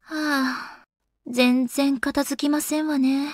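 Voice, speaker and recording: Elegant, high-voiced young goddess lady. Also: level tone, slow, dry recording, studio quality. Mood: gentle, reluctant. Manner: polite, ladylike, genteel phrasing. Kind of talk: Japanese anime game voice acting.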